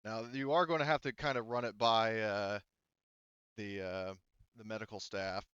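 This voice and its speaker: Low, clear-voiced man, halting game master. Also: steady tone, fairly bright tone, no room sound, dull audio. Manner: halting, self-correcting. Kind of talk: casual game narration and rules talk.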